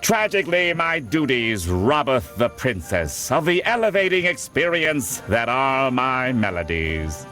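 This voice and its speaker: Pompous, nasal, bright cartoon squid. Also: male voice, medium pitch, very animated, unhurried, hardly any pauses, soft background music. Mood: haughty, disdainful. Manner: haughty, theatrical, mock-archaic. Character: pompous, sour middle-aged male squid doorman. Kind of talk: animated cartoon game voice acting.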